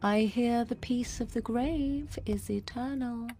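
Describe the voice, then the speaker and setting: A woman's voice: fairly high pitch, takes it slowly, warm tone, a little hiss or hum. An actress playing a haughty, sardonic young witch in a fantasy game, speaking in an arch, sarcastic way. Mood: scornful. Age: young adult. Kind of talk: fantasy video game voice acting.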